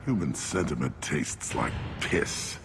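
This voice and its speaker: An actor playing a gruff, contemptuous alien clan leader in a video game, with a growling voice and a blunt, imperious manner. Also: male voice, rumbling low, animated, slow pace, background noise, full character voice. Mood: scornful. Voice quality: gravelly, growling. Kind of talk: video game cutscene acting.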